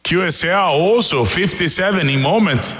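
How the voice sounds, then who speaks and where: A Brazilian man, a native Portuguese speaker, making ham radio calls in effusive, repetitive, halting English. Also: high-pitched, fairly bright tone. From South America. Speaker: amateur radio operator. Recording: echoey, long reverb voice audio.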